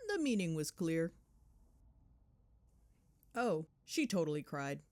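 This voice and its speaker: A woman playing a chatty, cheeky, affectionate girlfriend in an ASMR roleplay, telling stories in an animated, sarcastic, dramatic way. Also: lowish voice, exaggerated voice. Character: chatty, cheeky, affectionate girlfriend gossiping while driving home. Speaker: voice actor.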